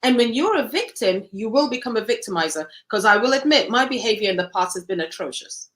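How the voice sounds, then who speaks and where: A woman's voice: on the high side, lively intonation, brisk, a bit bright, a little hiss or hum. A woman from Cameroon, an interview guest telling her story in an earnest, reflective way.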